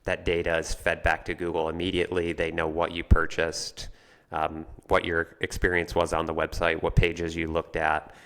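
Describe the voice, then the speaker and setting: A man's voice: sits low, monotone, moderate pace, fairly bright tone. A man talking through an explanation in a conversational way, like a guest on a business podcast.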